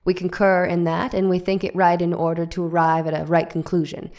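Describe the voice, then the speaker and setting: Defense counsel, read by a woman who addresses the judges formally. The voice is lowish and flat, with even, steady delivery. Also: brisk, close to an everyday voice. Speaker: lawyer. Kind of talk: dramatic reading of a trial transcript.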